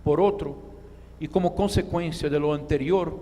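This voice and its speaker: Male conference speaker, Brazilian Portuguese native with that accent, higher-set voice, measured formal reading in a long-reverb hall. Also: warm tone. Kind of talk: formal lecture read from a text. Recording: echoey hall with clear background noise.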